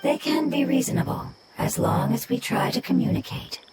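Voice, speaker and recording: An actor voicing a small, gentle, ancient forest spirit with a whispery, gravelly voice in a video game. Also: high voice, normal speed, heavy background noise, close to an everyday voice. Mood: earnest. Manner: soft, calm, solemn. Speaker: game character. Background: game music.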